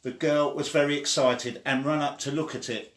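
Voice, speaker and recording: A man reading a children's story aloud in an expressive way, acting out the quoted lines. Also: a little high, normal speed. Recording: echoey room.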